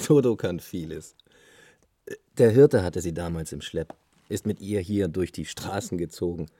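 An actor playing a playful, idealistic bohemian man in a radio play, with a husky voice and teasing, clipped phrases. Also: deep voice, unhurried, warm tone, dry recording, clean studio sound. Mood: playful, warm. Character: Henry, bohemian male squatter friend, playful and idealistic. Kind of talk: German radio play dialogue.

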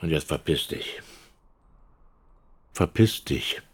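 An actor playing a gruff older village man in a radio play, blunt, coarse and patronising, with a quick temper. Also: very deep voice, expressive, full character voice. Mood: angry, contemptuous. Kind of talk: naturalistic German radio play dialogue.

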